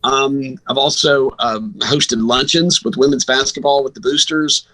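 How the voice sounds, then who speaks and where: A man's voice: medium pitch, steady tone, unhurried, pushed and bright, rough recording. A man, a radio sports broadcaster answering questions conversationally as an interview guest.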